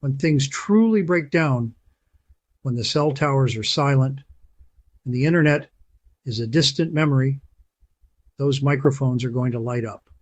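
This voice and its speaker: A man reading a scripted voice-over as channel host, persuasive and emphatic, slowing down to stress key phrases. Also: medium pitch, dry recording.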